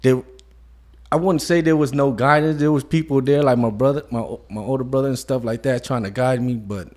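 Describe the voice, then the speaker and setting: A man in his thirties with a mid-range voice speaks as an interview guest. His delivery is soft-spoken and reflective, and he falls back often on "you know" as he gathers his thoughts. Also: medium pitch, steady tone, brisk. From Modesto, California. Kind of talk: casual conversation.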